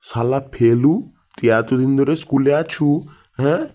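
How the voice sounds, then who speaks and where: A man's voice: mid-range voice, normal speed, dark tone, natural voice. A man voicing a strict, hot-tempered schoolteacher in a comic cartoon, scolding and blustering. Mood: angry scolding. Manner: scolding, blustering, coarse-tongued. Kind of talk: comic cartoon dialogue in Bengali village school skit.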